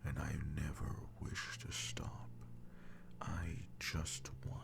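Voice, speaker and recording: A man playing a powerful, devoted demon lover in an intimate roleplay audio drama, speaking formally in a breathy, gravelly half-whisper. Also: a bass voice, monotone, full character voice. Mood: tender, earnest. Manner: slow, formal, archaic phrasing. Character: powerful male demon, devoted, jealous lover bound to a sorcerer. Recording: music behind the voice. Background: music.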